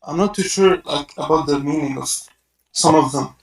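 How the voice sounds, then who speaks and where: A man's voice: on the high side, moderate pace, echoey room, low-quality recording. A man whose first language is Arabic, calling in with a question, hesitant and full of fillers. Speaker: caller.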